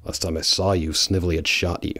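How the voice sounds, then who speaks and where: A man playing a deep, gravelly brooding hedgehog. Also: little pitch movement, fast, sharp tone, no echo, clean studio sound, full character voice. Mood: bitter. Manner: bitter, protective, angry. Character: brooding bitter male hedgehog hero. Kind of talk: fan audio drama.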